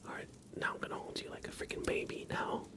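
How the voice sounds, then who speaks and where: A man hosting an ASMR livestream, speaking softly with playful asides as he chats. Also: quick pace. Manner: soft-spoken, playful asides to a pet cat. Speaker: livestream host. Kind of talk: whispered ASMR livestream chat.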